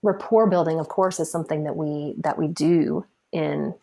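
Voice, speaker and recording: Chatty lady on a podcast, lowish voice, laughs a lot, trips over her words. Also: steady tone, normal speed, creaky, mellow. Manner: chatty, laughs often, restarts phrases. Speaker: marketing consultant guest. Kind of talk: casual interview conversation. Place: podcast recording.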